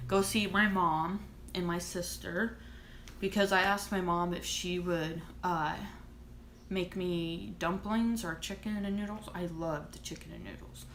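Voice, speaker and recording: A woman's voice: on the low side, fairly even intonation, measured pace, fairly bright tone, a little hiss or hum. Chatty woman in her thirties, echoey room. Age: 30s. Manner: rambling, chatty, trailing off and restarting. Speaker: vlogger and author. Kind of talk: casual chat to camera.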